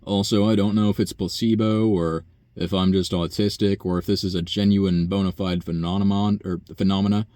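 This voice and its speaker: A young man with a very low, buzzy voice talks in a loose, profane ramble, trailing off into fillers and sighs. The sound is close and dry, with no room around it. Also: level tone, a little fast, dark tone, no room sound. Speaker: vlogger. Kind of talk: rambling personal monologue.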